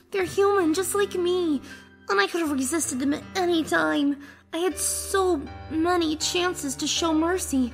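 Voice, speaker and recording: A woman voicing a guilt-stricken young child in a dramatic comic dub, halting, earnest and sorrowful. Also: very high voice. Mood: remorseful.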